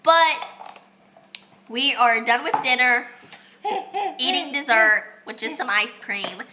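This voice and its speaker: Young woman, congested voice, bright and forceful tone, slightly high, faint hiss behind, chatty homeschool vlog. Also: animated, takes it slowly. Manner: chatty, with many ums and restarts. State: congested, losing her voice. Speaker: homeschooling mom. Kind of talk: casual home vlog lesson. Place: family home with children.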